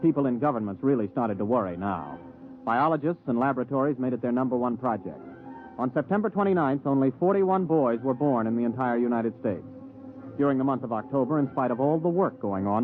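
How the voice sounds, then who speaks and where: A man's voice: medium pitch, close to an everyday voice. A man playing a young former rocket pilot turned computer operator in a 1950s radio drama, plain-spoken and matter-of-fact. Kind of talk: 1950s radio drama, first-person narration and dialogue. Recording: thin phone-like old radio recording.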